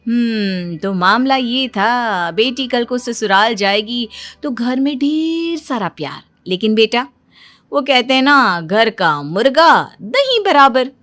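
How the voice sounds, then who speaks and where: A woman's voice: a little high, animated, slow pace, a bit bright. A woman telling a story in a dramatic, wry narration that speaks straight to listeners. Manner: wry, addresses listeners directly.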